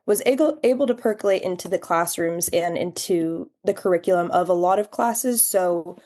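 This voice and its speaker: A young woman talks earnestly about her path as a guest on a podcast. Her voice is mellow and fairly low, and her reflective thoughts run on in long, flowing sentences. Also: moderate pace. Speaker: podcast guest, human rights education fellow. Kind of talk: reflective interview answer.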